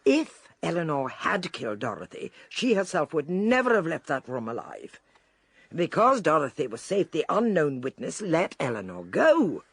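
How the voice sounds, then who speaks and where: An actress playing a shrewd, eccentric older British lady detective in a radio drama, theatrical, deliberate and drily amused. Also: low-pitched, sing-song, husky, no echo, heavily acted. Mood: assured, probing.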